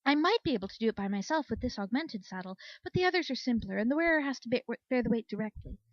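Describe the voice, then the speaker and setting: A woman's voice: fairly high pitch, animated, quick pace, no room sound, dull audio, natural voice. A woman voicing a bookish, cheerfully oblivious unicorn mare inventor in a storybook, earnest and explanatory. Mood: matter-of-fact.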